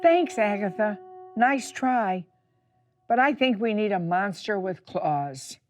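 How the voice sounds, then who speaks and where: A woman's voice: mid-range voice, animated, slow, faint music behind, pitched above the performer's own voice. A woman voicing an earnest older child who coaxes and pleads in a storybook. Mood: coaxing. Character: earnest older child coaxing a little sister.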